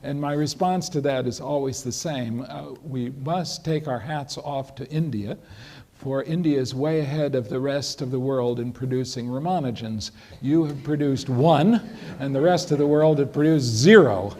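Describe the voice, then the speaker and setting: A man answering audience questions after a lecture, speaking in a measured, hesitant way with dry humor. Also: mid-range voice, darkish tone. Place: lecture hall.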